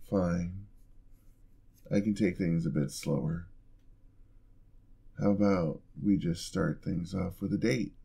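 A man voicing a flirty yandere husband in an ASMR roleplay, smooth-talking and teasing with a playful menacing edge. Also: deep voice, dark tone, exaggerated voice.

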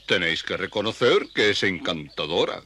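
A man's voice: low-pitched, animated, moderate pace, sharp tone, slight background noise. A man voicing a timid, fussy, henpecked beetle in a Spanish-dubbed children's cartoon, flustered and stammering. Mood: flustered, doting.

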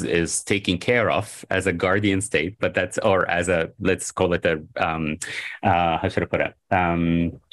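A man's voice: fairly low pitch, fairly bright tone. A man in his forties whose first language is Arabic, answering interview questions over a video call in a rambling way, with many fillers, self-corrections and chuckles. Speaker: historian guest. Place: home room by a window.

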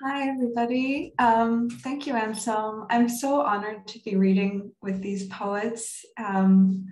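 A woman's voice: on the high side, steady tone, slow, darkish tone. A woman in her thirties, a poet speaking at a reading over a video call from a room at home. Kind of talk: poetry reading with informal remarks. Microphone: computer mic on a video call. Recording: roomy, echoey video-call audio.

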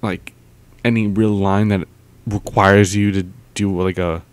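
A man's voice: on the low side, level tone, unhurried, no room sound. Young man rambling into a home mic. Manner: meandering, lots of fillers and trailing off. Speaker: audio vlogger. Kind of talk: casual rambling monologue. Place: home, indoors. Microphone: close mic with pop filter.

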